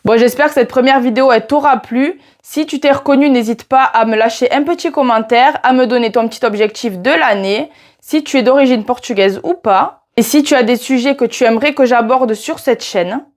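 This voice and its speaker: Young woman, southern French accent, casual vlogger, slightly high voice, talks straight to the viewer. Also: fairly quick. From France. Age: 20s. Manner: chatty, addresses viewer directly with "tu vois". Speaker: YouTuber teaching Portuguese. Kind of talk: casual vlog talking to camera.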